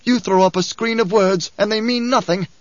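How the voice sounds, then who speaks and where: A man's voice: high voice, normal speed, no echo, muffled recording, voice pushed up, lightly acted. A man reading an audiobook, voicing a tense, guarded male swordsman who answers in clipped, defiant lines. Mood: strained.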